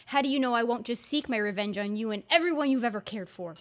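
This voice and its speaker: A woman's voice: fairly high pitch, fast, lightly acted. A woman acting in an audio drama as a young villainous sorceress, defiant and scornful, with a theatrical, threatening manner. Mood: menacing, defiant.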